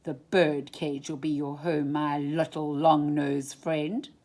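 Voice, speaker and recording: Woman as a loud, domineering storybook puppet master. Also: deep voice, flat delivery, slow, pitched below the performer's own voice. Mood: menacing. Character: bossy, scheming male puppet master, storybook villain. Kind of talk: children's storybook character voice.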